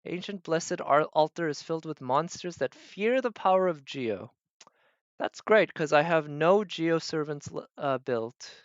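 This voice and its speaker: A man's voice: a little high, animated, measured pace, clear, resonant tone, no room sound, dull audio. A man doing casual live game commentary, thinking aloud and trailing off as he goes. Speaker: game streamer.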